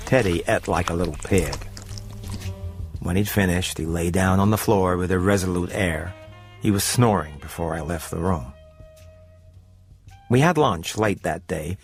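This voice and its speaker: A man with a fairly low voice narrates a first-person story in a radio drama style. His delivery is scripted, literate and measured, with music underneath. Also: breathy edge.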